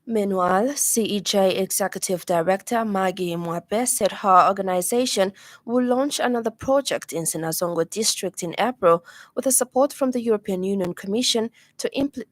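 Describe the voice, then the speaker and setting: A woman's voice: mid-range voice, no echo. Woman reading a radio news bulletin. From Zambia. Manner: measured formal delivery. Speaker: news anchor. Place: radio studio.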